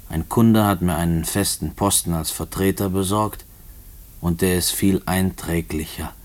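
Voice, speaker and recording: An actor playing a restless male prisoner in a German radio play, brooding haltingly as if writing out letters. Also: sits low, fairly even intonation. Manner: halting, brooding monologue, spelling out words as he writes.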